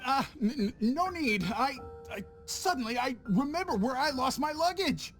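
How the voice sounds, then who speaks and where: A man's voice: very high-pitched, expressive, normal speed, fairly bright tone, faint music behind. A man voicing a cowardly, scheming visiting merchant in a game, stammering and panicky. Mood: nervous.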